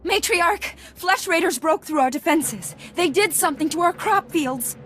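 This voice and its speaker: Very high, anxious young woman villager. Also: expressive, moderate pace, fairly bright tone. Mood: alarmed. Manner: urgent, anxious. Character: alarmed, urgent young villager bringing bad news. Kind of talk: video game drama acting.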